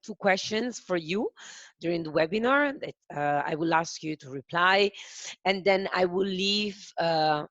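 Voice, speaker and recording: A woman with an Italian accent hosting a software demo webinar, speaking carefully and haltingly with frequent fillers. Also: fairly low pitch, dry recording, muffled recording. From Italy. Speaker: webinar host.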